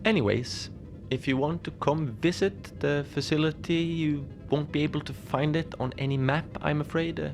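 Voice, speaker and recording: A man playing a mild, lonely Antarctic station manager in a cozy horror audio drama, speaking in a hesitant, understated deadpan as he logs his shift. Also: fairly high pitch, normal speed, vocal fry, hardly any pauses, over music. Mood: calm, matter-of-fact. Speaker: narrator of a fiction podcast. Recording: noisy ambient bed behind the voice.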